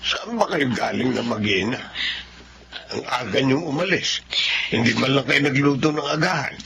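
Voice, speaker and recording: A man playing a gruff, suspicious older father in a drama, questioning his family in a gravelly, grumbling way. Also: mid-range voice, lively intonation, moderate pace, a bit bright, a little hiss or hum. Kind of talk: dramatic dialogue acting.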